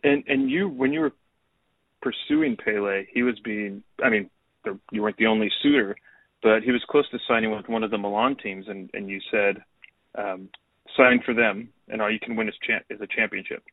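A man's voice: on the low side, fairly even intonation, normal speed, no echo. A man hosting a podcast, asking long follow-up questions of his guest. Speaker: podcast host. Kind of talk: interviewer questions. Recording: dry, tinny phone line.

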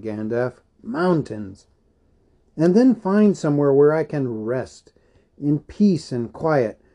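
A man's voice: a little high, mellow, pitched above the performer's own voice. A man voicing an elderly hobbit in a storybook reading, fretful, stubborn and possessive, with a querulous, insistent manner.

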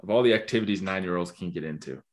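Male podcast host, lowish register, casual and chatty. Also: rapid-fire. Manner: chatty, musing, with fillers. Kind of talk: casual interview.

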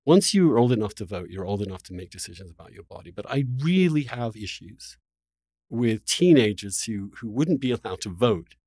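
Man debating with a lively, swinging pitch. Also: breathy edge, no room sound. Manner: halting, insistent, often repeating words mid-sentence. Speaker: interview host. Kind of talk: spirited debate interview.

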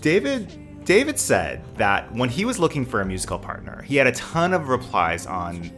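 A man hosting a podcast, asking interview questions in a lively way. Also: mid-range voice, normal speed, faint music behind. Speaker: podcast host.

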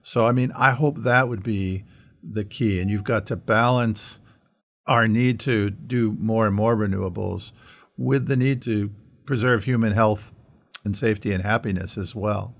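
A man's voice: fairly low pitch, steady tone, a little slow, gravelly. A middle-aged American man co-hosting a conversational podcast, speaking earnestly and posing rhetorical questions. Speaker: podcast co-host. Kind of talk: conversational discussion.

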